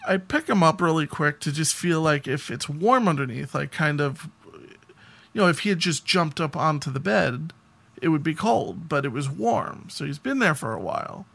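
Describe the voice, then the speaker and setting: A man's voice: a little high, expressive, normal speed, gravelly, a bit bright. A man co-hosting a podcast, telling anecdotes in a casual, rambling conversational way. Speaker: podcast co-host.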